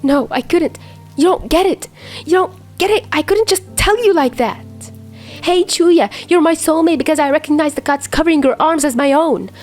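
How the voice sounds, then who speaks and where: A woman's voice: high-pitched, normal speed, darkish tone, faint music behind, pitched above the performer's own voice, lightly acted. A woman voicing a tearful young man who answers with desperate bitterness in a read-aloud story.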